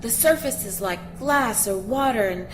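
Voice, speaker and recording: A woman's voice: on the high side, measured pace, natural voice. Woman acting an earnest sci-fi field reporter, noisy ship. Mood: awed. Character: intrepid female field reporter aboard a spaceship, earnest and awestruck. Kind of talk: audio drama live news report. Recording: noisy spaceship ambience behind voice.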